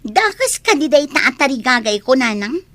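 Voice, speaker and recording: A woman's voice: high-pitched, very animated, normal speed, a bit bright, no room sound. A woman playing a resentful, ambitious young village daughter in an Ilocano radio drama, whiny and complaining. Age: young adult.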